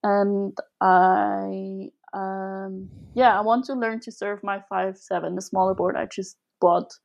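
A woman's voice: medium pitch, little pitch movement, slow, creaky, a little mellow. A young woman from Switzerland speaking as a guest in a casual interview, chatty and giggly as she thinks aloud. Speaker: podcast guest.

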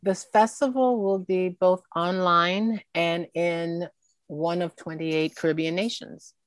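A woman speaking with a low, close, dry sound. She answers interview questions earnestly and expressively, and she often ends her points with a quick "right?". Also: steady tone, no room sound. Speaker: film festival organizer.

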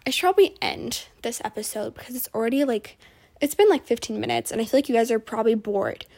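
Chatty, rambling teenage girl, higher-pitched. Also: animated, fast talker, gravelly, darkish tone. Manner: chatty, rambling, exasperated teen slang. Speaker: podcast host. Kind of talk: casual rambling storytelling.

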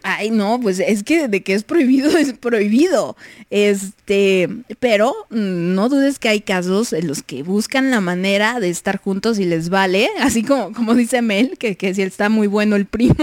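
A woman's voice: medium pitch, normal speed, no echo. A young Mexican woman hosting a livestream, chatting casually, reading messages and giggling often. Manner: giggly, chatty, many fillers. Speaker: livestream host.

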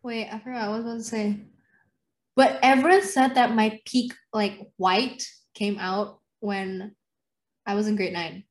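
A woman's voice: mid-range voice, steady tone, unhurried. A young woman in casual chat with a friend, rambling with restarts and laughing often.

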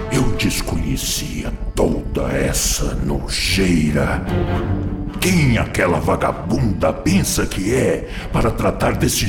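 A man's voice: on the low side, creaky, exaggerated voice. A male actor playing a vengeful, raging spirit devoted to a suffering girl in a Brazilian radio drama, aggressive and menacing in delivery. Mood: indignant, furious. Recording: echoey studio drama with loud music. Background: dramatic music.

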